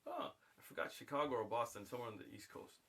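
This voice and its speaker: Bright-voiced man telling a lively story. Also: medium pitch, fast. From United States. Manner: animated, acts out voices in anecdotes. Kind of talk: casual storytelling on a livestream.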